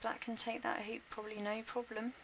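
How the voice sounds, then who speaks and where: Woman, creaky voice, chatty live commentary, colloquial, dull lo-fi recording, light background noise. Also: fairly high pitch, level tone, fairly quick, a bit bright. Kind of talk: informal live sports commentary.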